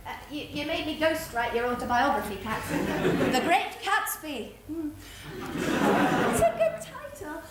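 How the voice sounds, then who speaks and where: A woman's voice: sits high, sing-song, unhurried. Female performer as pantomime boy: piping, hesitant, earnest, in a roomy theatre with heavy noise. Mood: exasperated. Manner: hesitant, exasperated. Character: earnest, naive, lovesick boy. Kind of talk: stage pantomime acting. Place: theatre stage before a live audience. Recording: echoey, very noisy theatre stage recording.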